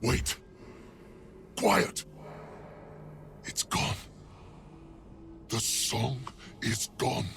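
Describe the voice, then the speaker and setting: An actor playing a furious, brutish, vengeful devil hunter in a fantasy game, growling in explosive, snarling outbursts. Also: male voice, medium pitch, animated, very slow, vocal fry, long pauses, background noise, exaggerated voice. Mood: wary, stunned. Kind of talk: theatrical fantasy game acting.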